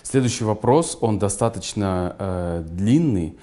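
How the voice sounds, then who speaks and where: A man's voice: lowish voice, expressive, unhurried, mellow. A man hosting an interview, giving short murmurs of agreement and reading viewers' questions aloud. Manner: brief listening murmurs, reads questions aloud. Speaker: interview host.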